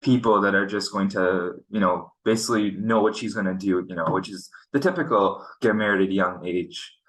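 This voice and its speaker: A man's voice: deep voice, brisk, a bit bright, poor audio. A young man from Pakistan hosting a casual podcast chat, earnest and frustrated, often restarting his sentences. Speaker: podcast host. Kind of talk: casual conversation.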